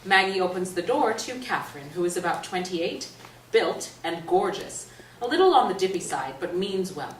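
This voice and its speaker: A woman's voice: on the low side, very animated, normal speed. A woman narrator reading stage directions aloud at a staged reading. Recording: echoey stage, distant mic, noisy room.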